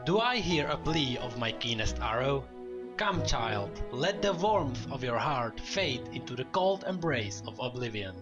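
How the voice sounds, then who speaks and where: Man as female lamb death spirit, solemn, gentle, storybook fantasy, lively intonation, music behind. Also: mid-range voice, measured pace, highs cut off. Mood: solemn, inviting.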